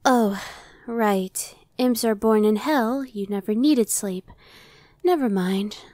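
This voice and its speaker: Casting: woman to play a laid-back, dry-witted she-demon for ASMR roleplay, voice a bit high. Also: slow pace, darkish tone, no room sound. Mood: casual, resigned. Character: young female demon scout Evanescence, casual, dry-witted, inwardly brooding. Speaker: voice actor. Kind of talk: ASMR roleplay audio drama.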